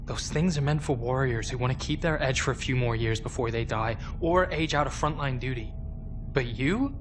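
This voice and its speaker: A man's voice: medium pitch, normal speed, background noise, close to an everyday voice. A man playing a concerned, loyal young warrior in a dramatic game cutscene, questioning and earnest. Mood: pressing.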